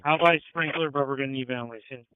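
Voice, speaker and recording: A man's voice: mid-range voice, fast talker, a little hiss or hum. A man reporting to dispatch as a fire incident commander, tersely, over a two-way radio. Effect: fire radio transmission. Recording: tinny narrowband two-way radio.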